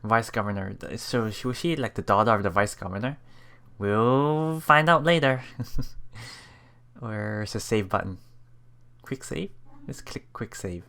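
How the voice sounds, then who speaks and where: Slow-paced streamer with a medium-low voice. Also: creaky, bright, forceful tone. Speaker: let's play streamer. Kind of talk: reading game narration aloud with casual commentary.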